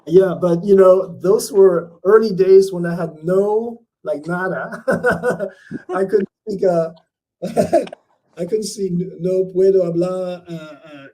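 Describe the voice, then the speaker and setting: Soft-spoken reflective man, high-pitched. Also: measured pace, low-quality recording. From Canada. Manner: thoughtful, reflective, hesitant with fillers and restarts. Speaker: artist interviewee. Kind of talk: conversational interview.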